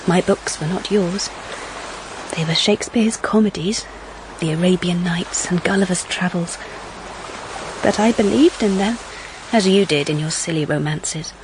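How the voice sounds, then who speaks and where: An actress playing an earnest, wistful young woman narrating her story in a measured, literary period-drama style. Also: on the low side, background noise.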